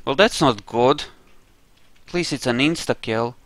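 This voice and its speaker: A man's voice: medium pitch, measured pace, a bit bright, no echo. A man giving casual, conversational commentary as he plays a video game, with a sweary streak. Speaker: gamer, playthrough host.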